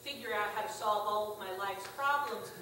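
A woman's voice: mid-range voice, normal speed, distant mic, a little hiss or hum. Wry woman preaching in an echoey church. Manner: conversational, wry and gently humorous. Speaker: pastor. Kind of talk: sermon. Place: small church sanctuary. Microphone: far room camera.

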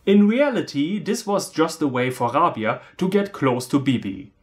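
A man's voice: fairly high pitch, very animated, moderate pace. A man in his thirties with a German accent, giving a scripted comedic film review in a sarcastic, theatrical manner. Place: small room indoors, on a couch.

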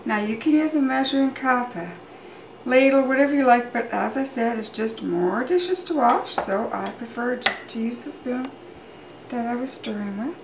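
A woman's voice: on the high side, normal speed. A middle-aged woman giving a chatty, step-by-step cooking demonstration in a casual way, as a home cook hosting her show. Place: home kitchen, indoors. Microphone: camera mic at a few metres. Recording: distant, roomy, loud kitchen clatter and noise.

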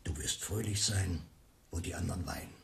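A man's voice: deep voice, normal speed, gravelly. An actor in a radio play voicing a cynical, mocking, melancholy man who swings theatrically between murmurs and cries. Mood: cynical persuasion. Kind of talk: radio drama acting.

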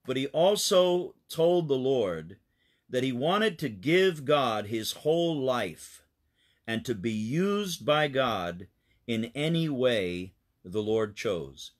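A man reads a story for children in a medium-pitched voice. He sounds like a pastor explaining things simply, with deliberate phrasing and small clarifying asides. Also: big pitch swings.

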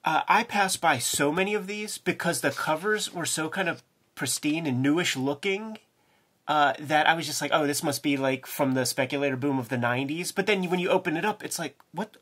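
A man's voice: fairly high pitch, brisk, fairly bright tone. Enthusiastic slangy man chatting comics. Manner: enthusiastic, slangy, says "man" and "dude" a lot. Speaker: co-host. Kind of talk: casual chat.

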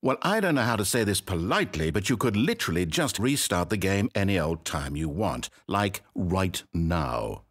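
An actor playing a smug, mocking game narrator who teases the player in dry, sarcastic tones. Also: male voice, sits low, big pitch swings, moderate pace, few pauses, fairly bright tone, full character voice. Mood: sardonic. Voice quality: dry, teasing delivery with sly sarcasm.

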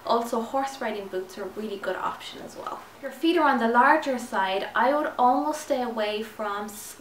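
A woman's voice: fairly high pitch, a little hiss or hum. A young woman in her twenties with a slight lisp from new braces, chatting upbeat fashion tips to her viewers. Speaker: fashion vlogger. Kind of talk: casual styling tips to camera. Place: small indoor room with clothes rail. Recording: echoey room, slightly distant mic.

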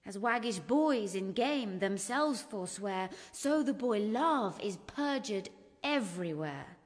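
Spurned young noblewoman, British, lovelorn Shakespearean verse, slow and very expressive. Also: medium pitch. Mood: wistful. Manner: theatrical, measured verse delivery. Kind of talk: Shakespearean radio drama soliloquy. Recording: long hall-like reverb.

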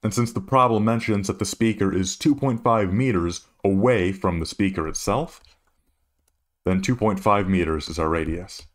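A man with a low-pitched voice gives an instructional explanation. He works through a problem as a tutor would, laying out each step methodically. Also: moderate pace. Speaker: physics tutor.

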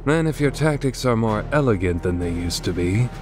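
A man's voice: lowish voice, animated, moderate pace, music in the background, lightly acted. A man voicing a proud, disdainful swordsman in a video game fan dub, speaking formally with haughty menace.

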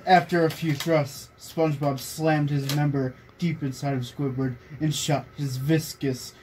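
Slow-reading young man in a noisy room. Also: a little high, level tone, a little mellow. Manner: often breaks into laughter while reading. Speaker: reader among friends. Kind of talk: reading fan fiction aloud to friends. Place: small bedroom, indoors. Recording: noisy casual room recording.

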